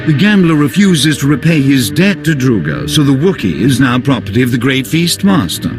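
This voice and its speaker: A man's voice: medium pitch, sing-song, normal speed, music in the background. An actor playing a crime lord's male servant in a video game, formal, stilted and coldly courteous. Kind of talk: video game character acting.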